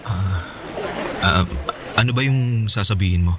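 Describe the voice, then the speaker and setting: An actor playing a gentle, hesitant, heartbroken ex-boyfriend in a Filipino radio drama, with a Filipino accent. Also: male voice, low-pitched, measured pace, a little hiss or hum. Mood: hurt, confused. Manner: hesitant, pleading, soft-hearted.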